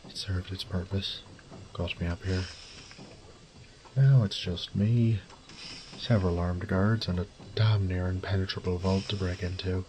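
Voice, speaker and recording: A man playing a wry, resourceful thief in an audio drama, muttering gruffly and cursing to himself. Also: low-pitched, a little mellow, a little hiss or hum, low-quality recording. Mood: dry, determined. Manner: gruff, sardonic, swearing under his breath. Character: wry, foul-mouthed adult male thief, resourceful under pressure.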